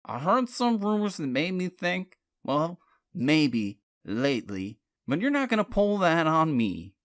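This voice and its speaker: Audiobook role: mocking male rogue, middle-aged, female narrator performing, crude, defensive, sarcastic, swinging pitch. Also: big pitch swings, a little slow. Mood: sneering.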